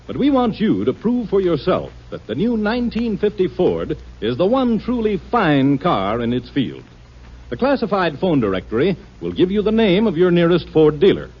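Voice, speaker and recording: A man reading a 1950s radio car commercial with a smooth salesman delivery. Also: fairly high pitch. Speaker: commercial announcer. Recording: dull old radio broadcast.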